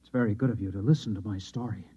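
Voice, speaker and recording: An actor playing a distraught man who believes he is a Martian, speaking haltingly and formally in old-time radio drama style. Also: fairly low pitch, mellow, breathy edge. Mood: anxious, timid. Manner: agitated, haunted, halting, formal phrasing. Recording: dull, lo-fi vintage radio recording.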